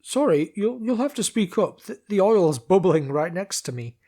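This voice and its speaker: A man's voice: high voice, big pitch swings, moderate pace, dark tone, voice pushed up, full character voice. A man voicing a grumpy, sarcastic male castle guard who bellows down bluntly from the battlements. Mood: gruff shouting.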